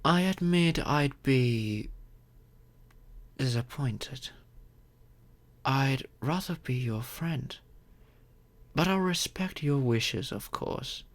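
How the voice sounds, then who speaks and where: Casting: male actor for a centuries-old gentleman vampire in audio roleplay, kind and courteous, unhurried delivery with widely moving pitch. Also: lively intonation. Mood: earnest, reassuring. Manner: gentle, reassuring, unhurried with thoughtful pauses. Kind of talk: scripted audio roleplay, addressing the listener.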